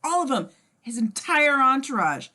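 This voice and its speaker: A woman's voice: high-pitched, big pitch swings, moderate pace, pushed and bright, full character voice. A woman playing a businesslike, urgent federal agent giving orders in an amateur script read.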